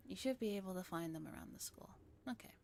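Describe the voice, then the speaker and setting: A woman voicing a calm, practical teenage boy who explains things matter-of-factly, in a visual novel reading. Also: mid-range voice, quick pace, creaky, slight background noise. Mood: explaining.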